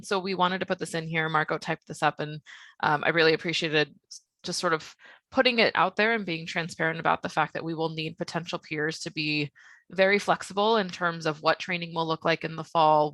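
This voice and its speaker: A woman gives an informal, candid explanation as a coordinator. Her voice is bright and forward with marked creak, and it comes through very dry and close, with a measured delivery. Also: lowish voice, no room sound.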